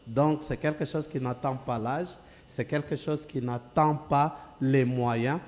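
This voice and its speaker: Slow, formal man with a mellow voice. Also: medium pitch, steady tone, in a large hall. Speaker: NGO chairman, guest. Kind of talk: TV studio interview. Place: TV studio set.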